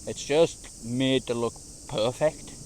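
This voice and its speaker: A man vlogging as he walks through gardens in the heat, his voice slightly hoarse, talking in a chatty, slangy, easygoing way. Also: medium pitch, takes it slowly, darkish tone, slight background noise. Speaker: travel vlogger. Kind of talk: casual walking vlog narration. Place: outdoors in large city gardens, walking in heat.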